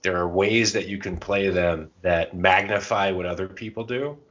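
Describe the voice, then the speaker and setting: Very deep-voiced man, casual podcast co-host. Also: normal speed, fairly bright tone. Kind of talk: casual conversational advice and anecdotes. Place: podcast recording. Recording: dull, muffled lo-fi sound.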